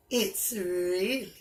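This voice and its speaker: A woman voicing a sly, tempting serpent in a children's story, coaxing in a slow, drawn-out way. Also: medium pitch, a little hiss or hum. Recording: echoey room.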